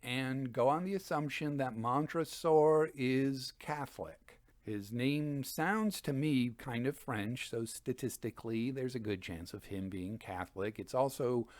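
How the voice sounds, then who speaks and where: A man hosting a reading series, giving conversational literary commentary with expressive, emphatic phrasing. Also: medium pitch, a little slow, hardly any pauses, dry recording. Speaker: host and dramatic reader.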